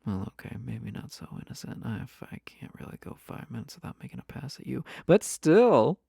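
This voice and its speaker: Performer playing a teasing yandere spouse, swooping intonation, dry close sound, coy, possessive, breathy, intimate audio roleplay. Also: mid-range voice, sing-song, fairly quick, mellow, no room sound, full character voice. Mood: playfully sly. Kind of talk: intimate roleplay audio drama, spoken to listener.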